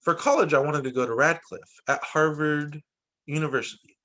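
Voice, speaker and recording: A man reading a picture book aloud to children as a teacher, in an expressive, dramatizing way. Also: on the high side, moderate pace. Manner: expressive, dramatizing quoted lines.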